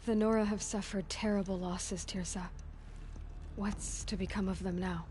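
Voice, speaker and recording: A woman's voice: mid-range voice, fairly even intonation, normal speed, warm tone, a little hiss or hum, natural voice. An actress playing a determined young woman hunter in video game dialogue, earnest and direct.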